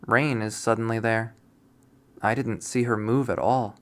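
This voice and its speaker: A man narrating a visual novel readthrough in a deliberate, storytelling manner. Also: on the low side, fairly even intonation, a bit bright. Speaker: game streamer reading a visual novel aloud.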